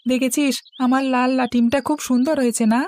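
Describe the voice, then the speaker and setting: A woman's voice: sits high, steady tone, fast talker, darkish tone, natural voice. A woman voicing an innocent, stubborn young village boy in a cartoon, chattering in a childlike, plaintive way. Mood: playful bickering. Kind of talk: cartoon voice acting. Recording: music playing behind the voice.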